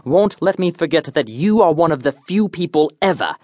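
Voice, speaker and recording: An actor playing an eccentric, pompous detective, declaiming absurdly confident deductions in a theatrical comic radio-drama style. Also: male voice, fairly high pitch, expressive, fairly quick, mellow, dry recording. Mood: flustered.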